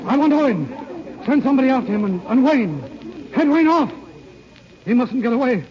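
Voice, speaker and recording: An actor playing a devoted, passionate bridegroom with urgent, melodramatic old-time radio delivery. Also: male voice, extremely high pitch, big pitch swings, normal speed. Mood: anguished. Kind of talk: 1940s radio acting. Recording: muffled old radio recording.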